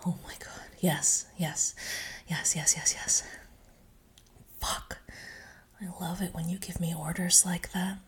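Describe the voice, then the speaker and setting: A young woman speaking in a breathy, intimate whisper with soft sighs, close to the microphone. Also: on the low side, steady tone, slow pace, pauses often. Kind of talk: whispered audio roleplay.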